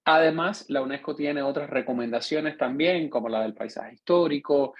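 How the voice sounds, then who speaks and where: A man's voice: on the high side, moderate pace, muffled recording. A man with a Cuban accent giving an online lecture as a course instructor, explaining at length and often checking in with his listeners.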